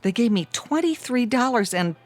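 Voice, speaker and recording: An actress playing a friendly, folksy small-town waitress in a radio drama. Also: female voice, on the high side, lively intonation, close to an everyday voice. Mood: friendly surprise. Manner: folksy, unhurried.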